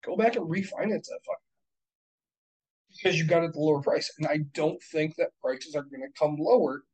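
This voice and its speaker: A man in his thirties with a slightly high voice talks through rental math in a casual chat. He is blunt and profane and reckons the numbers out loud as he goes. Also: brisk, slightly rough, poor audio. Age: 30s. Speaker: real estate investor.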